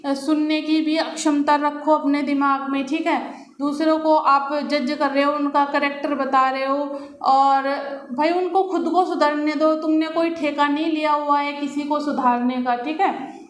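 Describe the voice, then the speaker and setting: Woman, very high voice, flat intonation, argumentative off-the-cuff commentary. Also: a little slow, reverberant. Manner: scolding, argumentative, rhetorical questions. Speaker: commentator on reality show gossip. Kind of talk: unscripted opinion commentary addressed to viewers and contestants.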